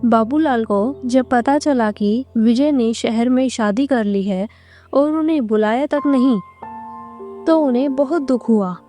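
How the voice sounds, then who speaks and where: A woman reads a scripted tale as narrator. Her voice sits fairly high yet has a very soft, mellow tone, and she takes her time over each line. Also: a little slow, soft background music. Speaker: story narrator.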